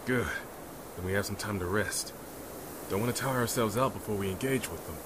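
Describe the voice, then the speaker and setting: A man's voice: lowish voice, fairly quick, heavy background noise, close to an everyday voice. A man playing a loyal, encouraging soldier in a fantasy audio drama, speaking earnestly and reassuringly. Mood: calm, concerned. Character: loyal, encouraging male soldier comrade of a werewolf army.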